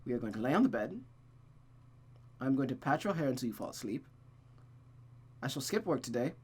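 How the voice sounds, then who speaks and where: A reader voicing a doting gentleman lover from a visual novel, gentle, wry and slightly formal. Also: mid-range voice, close to an everyday voice.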